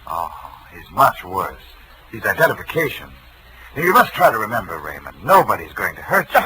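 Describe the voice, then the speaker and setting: An actor in a 1940s radio drama playing a condescending, villainous doctor who talks in a patronizing, menacing way. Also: male voice, fairly low pitch, huge pitch swings, a little fast, a crowd in the background. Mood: falsely soothing. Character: smooth-talking, condescending villainous male doctor. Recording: noisy old 1940s radio recording.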